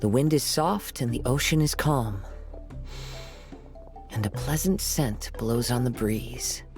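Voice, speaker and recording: Serene young swordsman: medium pitch, fry-tinged, gentle, courteous, anime game. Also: slow, over music. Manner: soft-spoken, courteous. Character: gentle, modest young male wandering swordsman, poetic and serene. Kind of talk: anime video game voice acting.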